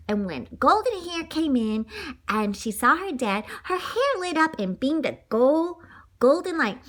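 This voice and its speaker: A woman with a high, bright voice reads a children's story aloud. Her delivery is very lively and dramatic, dotted with spoken sound effects. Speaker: storybook reader.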